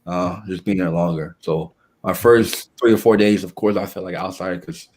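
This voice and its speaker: Halting young man, lowish voice. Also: fairly even intonation, a little mellow, slight background noise. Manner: halting, with frequent restarts and fillers. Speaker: guest. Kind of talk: casual conversational interview.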